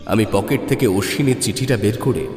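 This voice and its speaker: A man's voice: lowish voice, fairly quick, a little mellow. A man narrating a horror story in a dramatic, scripted style. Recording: echoey long reverb, music underneath.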